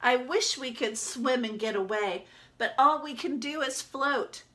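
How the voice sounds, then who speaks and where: Storybook toy duck, small, timid and lonely, read by a woman. Bright voice, unhurried, expressive delivery. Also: high-pitched, voice pushed up. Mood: wistful storytelling. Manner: expressive, dramatic storybook narration. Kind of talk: children's picture book read-aloud.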